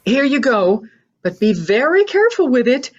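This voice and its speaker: A woman's voice: high-pitched, big pitch swings, moderate pace. A woman playing a wary, polite chemist shopkeeper in an amateur radio drama, hesitant and questioning, on a slightly muffled recording.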